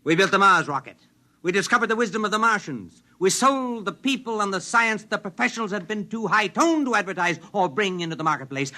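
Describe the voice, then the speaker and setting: A self-important idealist makes his case in 1950s radio drama style. His voice is high and very forceful, swinging widely in pitch as he declaims with zeal and insistence. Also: heavily acted. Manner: fervent, declamatory speechmaking.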